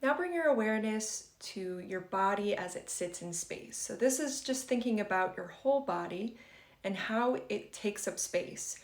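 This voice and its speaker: A woman leading a guided meditation for beginners in a gentle, conversational and reassuring way. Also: medium pitch, lively intonation, moderate pace. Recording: roomy, echoing sound.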